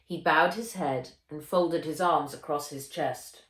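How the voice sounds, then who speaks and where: A woman reading a children's novel aloud as its author, in a measured, expressive storytelling way. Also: sits low. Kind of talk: children's audiobook narration. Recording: roomy echo.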